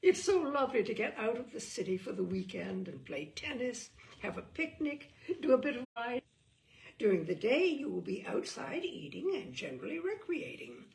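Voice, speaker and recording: A woman's voice: a little high, big pitch swings, normal speed, creaky, a little mellow. A woman giving an arch, theatrical, mock-serious reading of a humorous book. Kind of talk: theatrical reading aloud of comic prose.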